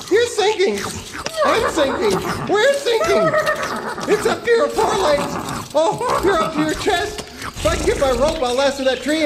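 High-voiced, naive cowboy hero. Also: male voice, big-room sound, very noisy, exaggerated voice. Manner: folksy, melodramatic exclamations. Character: naive, eager, folksy cowboy deputy hero. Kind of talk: old-time radio serial parody acting.

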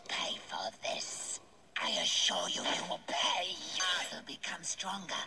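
Vengeful cartoon woman, menacing, sharp-edged delivery swinging wildly in pitch. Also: very animated, measured pace, in a whisper, heavily acted.

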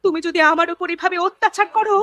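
A woman's voice: very high voice, fairly even intonation, fast talker, fairly bright tone, no room sound. A woman voicing an embittered, defiant village wife and mother in a cartoon, scolding as she goes. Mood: angry defiance. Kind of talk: cartoon drama voice acting.

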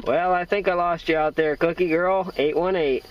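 Distant-sounding American man, higher-pitched voice. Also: steady tone, moderate pace, highs cut off, very noisy. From Iowa, United States. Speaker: amateur CB radio operator. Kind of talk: radio operator chat with call signs.

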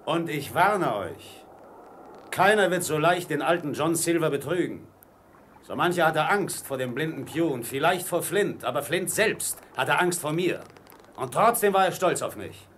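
A man's voice: a little high, animated, measured pace, fairly bright tone, slight background noise. A man playing a wily, commanding old pirate ship's cook in a radio play. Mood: menacing, commanding.

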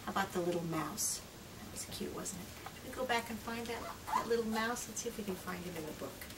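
A middle-aged woman gently and expressively reading a picture book aloud to young children, drawing out phrases and sometimes dropping to a hush. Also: mid-range voice. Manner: gentle, expressive, drawn-out phrases with hushed whispers and questions to the listener. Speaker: children's read-aloud presenter and trainer. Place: small indoor room lined with picture books. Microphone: far camera microphone. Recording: distant microphone, clear background room noise.

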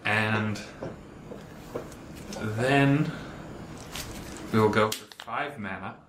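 Card player, man, calm and methodical, low voice, roomy studio with audible noise. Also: drawn-out words. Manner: calm, explains plays step by step. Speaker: card game player, show regular. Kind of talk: casual game commentary. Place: indoor studio table, overhead camera. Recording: echoey room with clear background noise.

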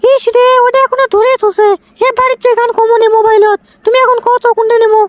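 A man's voice: very high-pitched, flat delivery, fast, dry recording. A man voicing a bossy, impatient young girlfriend in a comic cartoon, scolding with a Bogura accent from northern Bangladesh. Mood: exasperated. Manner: scolding, exasperated.

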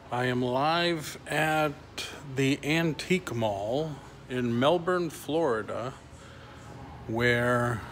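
Slow, flat-toned middle-aged man. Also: medium pitch. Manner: halting, reading and answering comments. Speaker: toy reseller livestreaming. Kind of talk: casual live vlog chat. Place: large concrete-floored antique mall booth indoors. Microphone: phone held at arm's length or closer. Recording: handheld phone with clear ambient noise.

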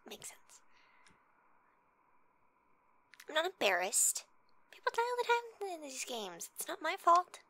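Bright, teasing gamer girlfriend, played by a woman. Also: sits high, wildly swooping pitch, normal speed, no room sound, full character voice. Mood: focused. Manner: teasing, casual, often dropping into whispers. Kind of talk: ASMR roleplay.

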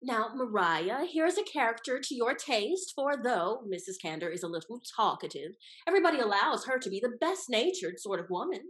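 A young woman acting a scheming, haughty high-society lady in a period stage comedy, with arch, theatrical emphasis. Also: sing-song, fairly quick, few pauses, a bit bright. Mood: knowing. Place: indoor room, performing on video call. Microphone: video call.

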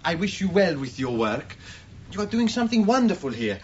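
A slightly muffled radio drama recording has a dignified foreman. His voice is high and melodic, formal and deferential yet resolute. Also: very animated, a little fast, slight background noise. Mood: dignified resignation. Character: Manolis, proud, dignified Cretan foreman.

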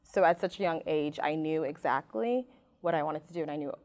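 A woman's voice: low voice, a little fast, darkish tone. A young woman raised in Martinique, French her first language, speaking earnestly as a club alumna on a studio panel. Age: young adult. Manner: earnest, reflective, many fillers. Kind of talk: panel interview. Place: studio stage.

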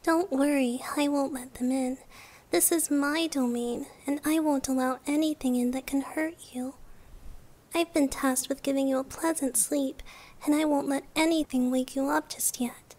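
A woman voicing a gentle, childlike dream-guardian deity in a hushed, reassuring ASMR roleplay, often close to a whisper. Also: high voice, steady tone, normal speed, natural voice. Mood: protective, gentle. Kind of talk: ASMR roleplay, soft soothing delivery.

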